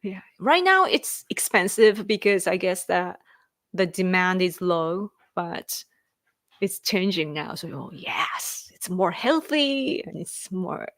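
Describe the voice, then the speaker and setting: Japanese woman in her thirties hosting a livestream, unhurried delivery, dry close sound, giggly and chatty. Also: fairly low pitch, big pitch swings, a bit bright, no echo. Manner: rambling, laughs often, thanks viewers repeatedly. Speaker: livestream host. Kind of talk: casual livestream chat reading viewer comments.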